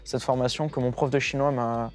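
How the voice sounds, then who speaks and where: A man in his twenties chatting in a relaxed way about his training and jobs. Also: medium pitch, a little fast, soft background music. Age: 20s. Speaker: interviewee. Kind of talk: spontaneous personal account.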